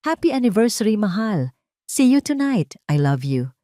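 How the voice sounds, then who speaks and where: A woman's voice: mid-range voice, sing-song, moderate pace, mellow, dry recording, studio quality, pitched above the performer's own voice, lightly acted. Woman voicing a hurt, devoted Filipino wife, pleading. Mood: tender. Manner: tender, pleading, mixes Tagalog with English. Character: hurt, devoted wife confronting her distant husband.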